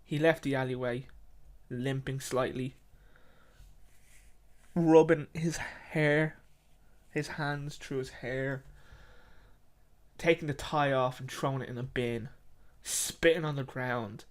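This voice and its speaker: A man telling a dramatic short story, in halting, repetitive short phrases. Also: mid-range voice, fairly even intonation.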